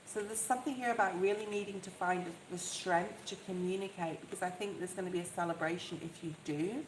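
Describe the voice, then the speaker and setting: A soft-voiced woman giving a live tarot reading, talking in a chatty, reassuring way and often checking in with "okay?". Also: fairly low pitch, steady tone, brisk. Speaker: tarot reader. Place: outdoors in the rain. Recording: echoey, with loud rain noise. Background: rain noise.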